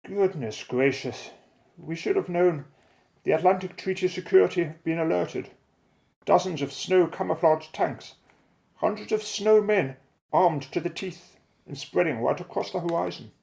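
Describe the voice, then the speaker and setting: Creaky-voiced, unflappable explorer played by a man. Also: high voice, moderate pace, a little mellow. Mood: alert. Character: Alan, unflappable, methodical male explorer and airship pilot. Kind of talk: audio drama acting.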